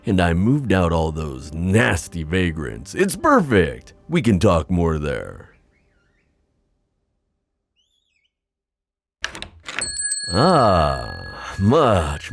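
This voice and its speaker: A deep-voiced, gravelly god of the sea, acted by a man for a fantasy ASMR roleplay. He is playful and scheming, toying with his listener in a theatrical, teasing way. His unhurried lines swing dramatically up and down. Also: exaggerated voice. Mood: suave, probing. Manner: theatrical, teasing, drawn-out musing with chuckles.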